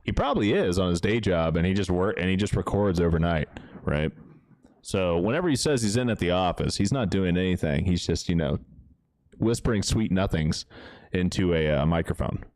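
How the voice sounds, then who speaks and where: A man with a deep, smooth voice giving casual live commentary as he plays a game, chatting away to his characters. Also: lively intonation, moderate pace, darkish tone, no echo. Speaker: game streamer, host. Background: music.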